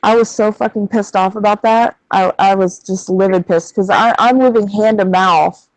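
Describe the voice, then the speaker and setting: A woman's voice: mid-range voice, steady tone, moderate pace, no echo, poor audio. A woman in her thirties in casual chat, venting bluntly with plenty of swearing. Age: 30s.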